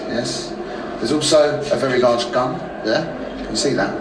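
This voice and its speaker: A man, a prisoner talking haltingly in a documentary interview. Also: medium pitch. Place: echoey prison cell. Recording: echoey, distant, very noisy room.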